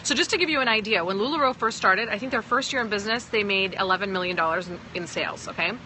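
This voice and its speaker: A woman's voice: mid-range voice, quick pace, pushed and bright, slight background noise. A woman from Pakistan who sounds American, talking to viewers on a phone livestream as a sales team leader, emphatic and often checking in with "okay?". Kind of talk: livestream talk answering viewers.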